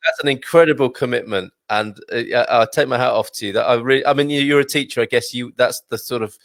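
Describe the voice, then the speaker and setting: A man's voice: medium pitch, fast talker, fairly bright tone, no echo. A man hosting a casual chat show, friendly and enthusiastic, often stumbling over restarts as he introduces and questions his guest. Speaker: host.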